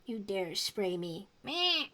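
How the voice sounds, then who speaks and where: A woman's voice: mid-range voice, very animated, takes it slowly, pushed and bright. A young woman streamer chatting casually with her viewers and giggling often. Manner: giggly, chatty, reads chat aloud.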